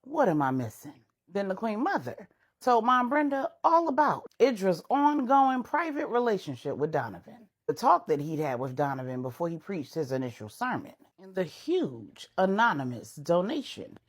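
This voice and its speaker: A woman's voice: mid-range voice, very animated, normal speed. A woman storyteller narrating serial fiction in a dramatic style.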